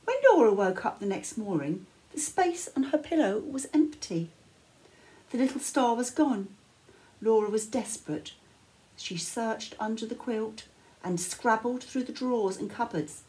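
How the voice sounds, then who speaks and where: Older lady doing a picture book read-aloud, slow, lots of up and down in her voice. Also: on the high side, lively intonation, warm tone, rough recording. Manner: measured, dramatic phrasing with pauses. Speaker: storyteller reading a children's bedtime story. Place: small living room, indoors.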